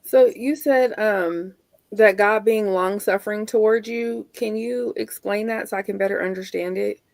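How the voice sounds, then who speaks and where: A woman's voice: mid-range voice, steady tone, normal speed, vocal fry, a little mellow, no room sound. A woman hosting, asking a guest to explain what she said. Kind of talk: interview question.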